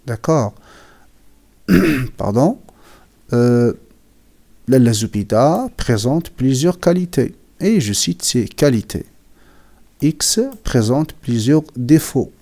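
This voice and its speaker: A man teaching writing, slowly defining and repeating words, with a cold that makes him clear his throat. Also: mid-range voice, lively intonation, warm tone. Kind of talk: slow classroom explanation.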